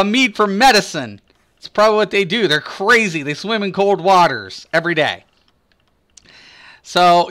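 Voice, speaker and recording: Bright, chatty male game streamer. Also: sits high. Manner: rambling, chatty, lots of fillers; talks to chat viewers by name. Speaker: gaming streamer. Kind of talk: casual live-stream chat.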